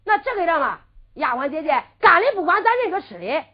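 Wheedling young male peddler, played by a woman; Henan accent, lively high delivery. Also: fairly quick. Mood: coaxing. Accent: Henan dialect. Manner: coaxing, bargaining sing-song. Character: clever young man, wheedling traveling peddler. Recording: muffled lo-fi recording.

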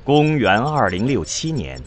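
A man's voice: medium pitch, sing-song, a little slow. A man giving solemn scripted narration as the narrator of a science fiction radio drama.

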